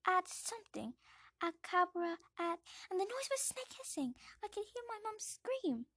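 A woman's voice: extremely high pitch, sing-song, measured pace, bright, forceful tone, dry recording, clean studio sound, pitched above the performer's own voice, heavily acted. A woman reading aloud and voicing a timid, frightened young boy who speaks in small, hesitant, apologetic bits.

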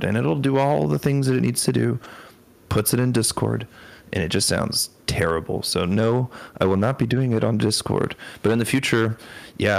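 Man, fairly low pitch with vocal fry, informal explainer, bassy close microphone. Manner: informal, conversational. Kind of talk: casual explaining. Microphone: close microphone set to sound bassy.

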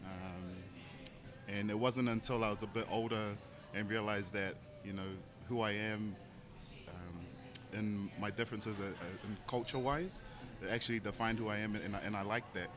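Soft-spoken Tongan-born man over harmonica music. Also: fairly low pitch, steady tone, moderate pace. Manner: thoughtful, frequent ums and restarts. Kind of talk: reflective personal story. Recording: harmonica music playing behind.

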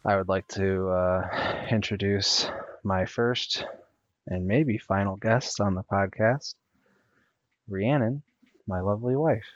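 A man hosting a casual home podcast, talking in a monotone with many ums and uhs, recorded on a headset. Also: on the low side, slow pace, no room sound, dull audio. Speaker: podcast host. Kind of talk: casual solo podcast talk. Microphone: USB desktop headset.

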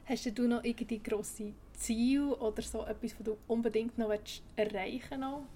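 A woman's voice: on the high side, steady tone, moderate pace, warm tone. A woman with a Swiss German accent hosting a podcast, asking questions and often rephrasing them as she goes. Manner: searching, rephrases her questions. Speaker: podcast host, interviewer. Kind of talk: interview questions.

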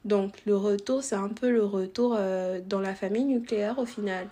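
A woman's voice: medium pitch, steady tone, normal speed, mellow. A young woman from Congo hosting a podcast, talking casually, hesitant and full of restarts, asking probing questions. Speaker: podcast host. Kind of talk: casual conversation.

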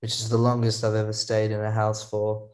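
An Australian man, a songwriter guest on a podcast, telling stories about his past in a halting, rambling way. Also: fairly low pitch, completely flat delivery, darkish tone. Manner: rambling, halting, restarts often. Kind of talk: casual interview storytelling. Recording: echoey room.

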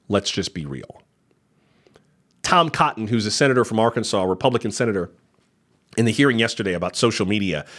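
A man hosting news commentary, talking in a conversational, skeptical way with wry asides. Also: mid-range voice.

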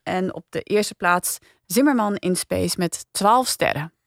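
A woman's voice: fairly low pitch, a little slow, vocal fry, no echo. A woman hosting a casual podcast review chat, close to a studio microphone. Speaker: podcast host.

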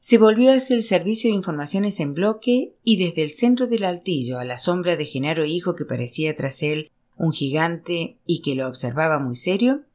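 A storyteller reads literary fiction aloud. The voice sits at a middle pitch, and the delivery is expressive, scripted narration. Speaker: audiobook narrator.

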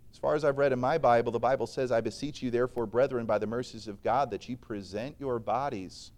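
A man preaching a sermon in a church, earnest and emphatic, swinging between loud and soft, and reciting scripture in old-fashioned wording. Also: mid-range voice.

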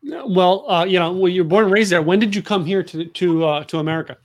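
A man's voice: high-pitched, a little fast, highs cut off. Rambling, filler-heavy male host. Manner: rambling, lots of fillers like "you know" and "like". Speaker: podcast host. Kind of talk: casual interview chat.

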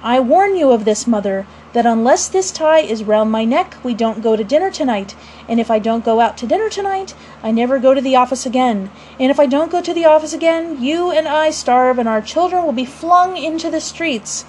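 A blustering storybook father, acted out by a woman narrator, pompous and easily exasperated. The voice is high for the part, with emphatic, sarcastic declamation. There is some noise in the recording. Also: animated, fairly quick, hardly any pauses, a little mellow, poor audio. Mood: stern, dramatic. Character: pompous, blustering father, melodramatically exasperated, middle-class Edwardian man.

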